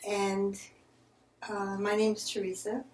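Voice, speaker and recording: A woman's voice: medium pitch, monotone, takes it slowly, a little mellow. A woman leading a group class, encouraging others to introduce themselves, speaking with fillers. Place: clinic room. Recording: roomy, distant mic, faint noise.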